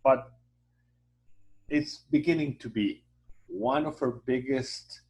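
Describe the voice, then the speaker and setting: A Mexican-accented man, Spanish his first language, speaks in an even middle register. He answers interview questions in halting, filler-laden English, restarting his sentences as he warmly promotes his town. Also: mid-range voice, poor audio. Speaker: hotel association president, guest. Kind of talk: conversational interview.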